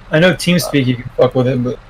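A man's voice: medium pitch, fairly quick, slight background noise, low-quality recording. A man chatting casually as a game streamer, swearing freely. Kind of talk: casual chat.

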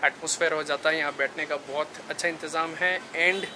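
A man's voice: fairly high pitch, steady tone, brisk. Chatty man vlogging on a rooftop. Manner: chatty, upbeat, addresses viewers directly. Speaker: food vlogger. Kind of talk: casual vlog walkthrough. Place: outdoor rooftop terrace and parked car. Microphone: handheld camera mic at arm's length. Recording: noisy outdoor camera audio.

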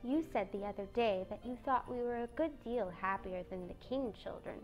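A woman voicing a gentle, timid young girl who keeps the peace, soft-spoken and mild, in a storybook reading. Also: mid-range voice, moderate pace, soft background music, close to an everyday voice, poor audio.

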